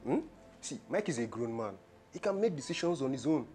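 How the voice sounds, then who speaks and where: Actor as reproachful Nigerian man, medium pitch, firm admonishing delivery, screen drama with light music underneath. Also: big pitch swings, brisk, a little mellow, lightly acted. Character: stern, reproachful man scolding a troublesome woman. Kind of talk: film drama acting.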